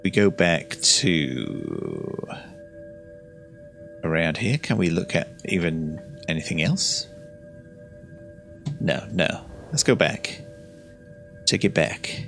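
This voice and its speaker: A man's voice: rumbling low, very animated, slow pace, vocal fry, long pauses. A man giving casual playthrough commentary on a game, thinking aloud in short reactions. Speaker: gameplay video host. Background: game music.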